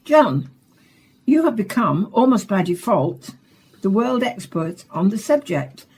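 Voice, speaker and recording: Radio play actress: composed woman hosting a science show, husky, formal, deliberate. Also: mid-range voice, very animated, darkish tone. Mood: serious, composed. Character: composed, serious female science programme presenter. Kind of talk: radio drama acting as a formal broadcast host.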